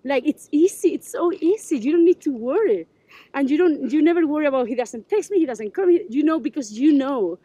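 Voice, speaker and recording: Animated woman chatting outdoors. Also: high voice, brisk, no echo. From Spain. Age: 20s. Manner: chatty, animated, gestures while talking. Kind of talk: casual chat while sightseeing. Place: outdoors at hilltop ruins. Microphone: wide-angle action camera held nearby.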